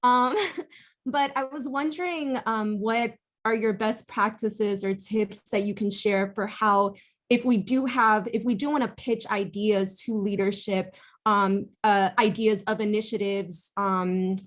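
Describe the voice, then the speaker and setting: A woman in the audience of a video call asks a question in a friendly, hesitant way, dotting it with fillers. Her voice sits at a middle pitch. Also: steady tone, measured pace. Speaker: audience member asking a question.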